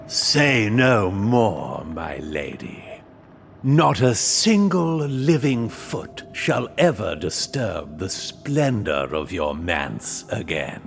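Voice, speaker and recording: A proud ghostly knight in a game cutscene. He talks slowly in a gravelly voice that swells and sinks theatrically. His manner is courtly and pompous, full of cold menace. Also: lowish voice, very animated, soft background music, full character voice. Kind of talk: video game cutscene acting.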